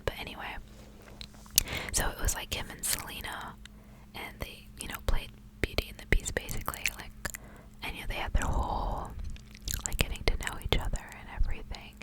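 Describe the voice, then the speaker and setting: A woman speaking in a soft, breathy, intimate whisper, as an ASMR host. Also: rough recording. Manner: soft, hushed, intimate delivery. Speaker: ASMR host reading aloud. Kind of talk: whispered ASMR storybook reading with casual asides.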